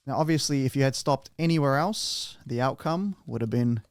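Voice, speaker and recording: A man's voice: mid-range voice, normal speed, smooth, no room sound, studio quality. A man hosting a podcast, chatting casually and playfully with his guest, often restarting his sentences. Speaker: podcast host.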